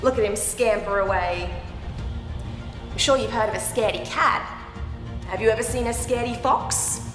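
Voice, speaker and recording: A woman reading a picture book aloud to young children, expressive, with animated, exaggerated reactions and asides. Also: fairly high pitch. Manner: animated, exaggerated reactions with gasps and drawn-out words. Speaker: children's storyteller. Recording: roomy echoing hall sound, background music. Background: music.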